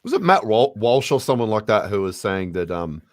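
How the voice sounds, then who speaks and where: A man's voice: on the low side, big pitch swings, moderate pace, a little hiss or hum. A man guest in a casual political chat, blunt and swearing freely.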